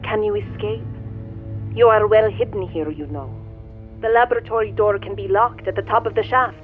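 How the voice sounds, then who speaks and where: Radio-filtered, imperious otherworldly woman. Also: mid-range voice. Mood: solemn, intent. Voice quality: sweet. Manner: imperious, deliberate. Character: imperious, mysterious woman from another universe. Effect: radio transmission filter. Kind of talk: pulp radio drama acting. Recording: thin radio-filtered voice, narrow band. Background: music.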